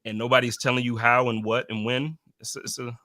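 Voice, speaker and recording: Bright-voiced man hosting a casual podcast. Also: medium pitch, little pitch movement, fairly quick, dry recording. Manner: friendly, encouraging, slangy. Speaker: podcast host. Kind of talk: casual chat.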